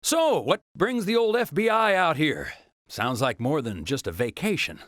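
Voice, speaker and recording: A man's voice: on the high side, big pitch swings, moderate pace, fairly bright tone, no room sound, studio quality. An actor playing a jovial, talkative travelling salesman in a video game cutscene, with a folksy, chatty manner. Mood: folksy, friendly. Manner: chatty, folksy, chuckling, exclamatory.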